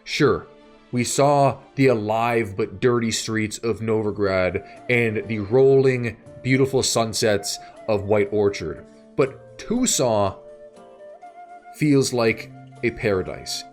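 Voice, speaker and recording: A man narrating a scripted video essay in a measured way, pausing between short phrases. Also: lowish voice, expressive. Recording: music bed behind the voice. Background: background music.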